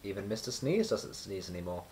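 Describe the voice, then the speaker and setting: A man reading a children's storybook aloud as narrator, with expressive, deliberate storytelling and emphasis on repeated words. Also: on the low side, background noise. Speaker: children's book reader.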